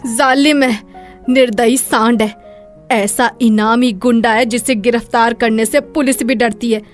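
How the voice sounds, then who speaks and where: A woman voicing a fearless, defiant young woman with a bold, emotional, dramatic manner in an animated moral story. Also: a little high, a little slow, faint music behind, close to an everyday voice. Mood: bitter, defiant.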